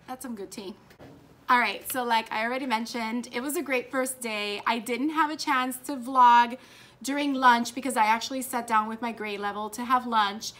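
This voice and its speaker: Young woman, teacher, vlog chat, upbeat, a bit high voice, classroom. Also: normal speed. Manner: upbeat, chatty, excitable. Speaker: fourth grade teacher and vlogger. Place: her classroom. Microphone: camera propped nearby.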